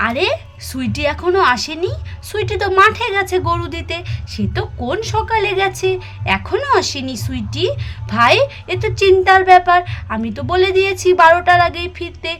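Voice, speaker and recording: A woman's voice: very high-pitched, expressive, moderate pace, a bit bright, faint music behind. A woman voicing an anxious villager searching for a missing relative, with urgent questioning, in a puppet cartoon. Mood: worried. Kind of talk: Bengali puppet cartoon voice acting.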